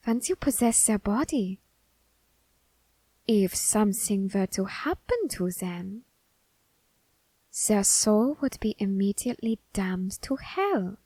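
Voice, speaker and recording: A woman's voice: medium pitch, slightly rough, dry recording, exaggerated voice. A woman voicing a teasing, curious reaper. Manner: slow, teasing, softly whispered. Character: curious androgynous grim reaper, teasing and coolly menacing. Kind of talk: ASMR audio roleplay.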